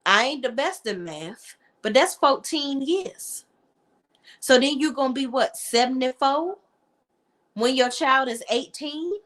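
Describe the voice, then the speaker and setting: A woman's voice: lively intonation, a little slow, fairly bright tone. Chatty woman with a drawl. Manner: chatty, acts out quotes in a lowered voice, colloquial. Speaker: co-host of a reality TV opinion panel. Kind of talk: casual chat.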